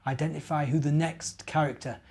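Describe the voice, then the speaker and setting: A middle-aged man with a slightly high voice speaks as a children's storyteller. He addresses his young listeners directly, putting gentle questions to them in the manner of a minister at story time. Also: fairly even intonation, fairly quick, creaky. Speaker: minister reading children's stories.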